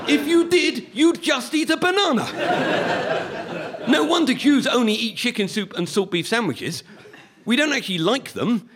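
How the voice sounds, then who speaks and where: A man with a Liverpool accent performing a stand-up comedy monologue with a wry, deadpan delivery. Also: very high-pitched, normal speed, crowd noise. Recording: live recording with audience noise.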